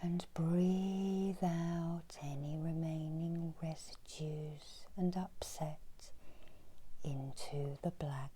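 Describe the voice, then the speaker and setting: Very slow whispering middle-aged woman, ASMR. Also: low voice, fairly even intonation. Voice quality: breathy unvoiced whisper. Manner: soothing, unhurried, instructing softly. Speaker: reiki healer and meditation guide. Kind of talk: whispered ASMR guided meditation. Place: small indoor room with folding screens.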